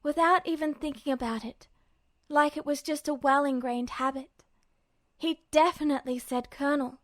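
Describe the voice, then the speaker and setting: Female fiction narrator, high-pitched, expressive scripted read, very dry sound. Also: normal speed, dry recording.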